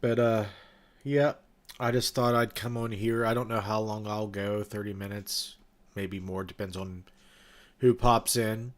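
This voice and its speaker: Male streamer, lowish pitch, nasal and stuffed up, rambling casual host chat. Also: steady tone, a little slow. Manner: rambling, loose, many fillers and restarts. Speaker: livestream host and ghost-hunting event organizer. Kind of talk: casual livestream chat with viewers.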